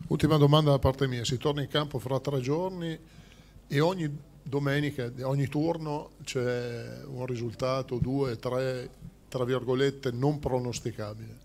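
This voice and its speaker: A man's voice: mid-range voice, fairly even intonation, a little slow, creaky, darkish tone. A man, a sports journalist, putting long conversational questions at a press conference. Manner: conversational, explaining his point at length.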